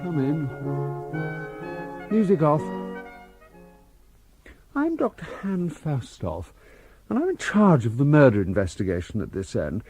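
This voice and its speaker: An actor playing an eager, courteous scientist in a radio drama, effusive and formal. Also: male voice, a little high, huge pitch swings, unhurried, pauses often, darkish tone, dry recording, soft background music, full character voice. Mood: welcoming, eager. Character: eager, courteous male scientist heading a murder investigation. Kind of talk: old-time radio drama acting.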